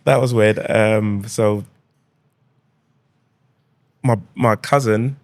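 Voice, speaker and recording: A man in his forties, born in Jamaica, who speaks with a London accent and tells his story in a relaxed, easygoing way as a podcast guest. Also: fairly low pitch, extremely slow, no echo. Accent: London English with Jamaican roots. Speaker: guest, restaurant founder. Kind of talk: casual podcast interview.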